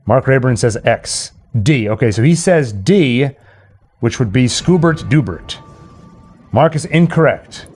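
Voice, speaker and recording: A man's voice: medium pitch, expressive, measured pace, a little mellow, slight background noise. A man hosting a casual livestream quiz, playful and thinking aloud as he goes. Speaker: host and trivia quizmaster.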